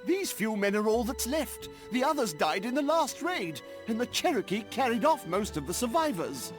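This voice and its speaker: A shrill, anxious older colonist, talks in an extremely high voice that swings widely. He is a panicky game cutscene figure, with prominent music behind. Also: big pitch swings, moderate pace. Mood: frightened.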